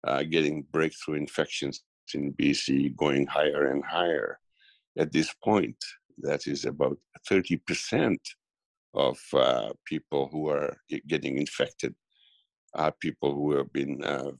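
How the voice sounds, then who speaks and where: A middle-aged man from Tanzania giving a political interview, speaking haltingly with many fillers and restarts. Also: extremely low pitch, creaky, no room sound. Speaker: guest commentator.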